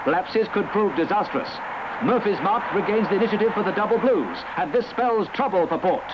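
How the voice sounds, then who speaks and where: An Australian man narrates a sports newsreel in a high-pitched voice. His scripted delivery is formal and florid. The old 1960s soundtrack is dull, with loud noise all through it. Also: expressive, brisk. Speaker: newsreel commentator.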